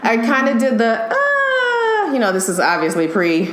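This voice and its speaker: Animated, laughing woman storyteller. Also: a little high, measured pace. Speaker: podcast guest, TV makeup artist. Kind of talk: casual podcast chat. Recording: echoey room with faint noise.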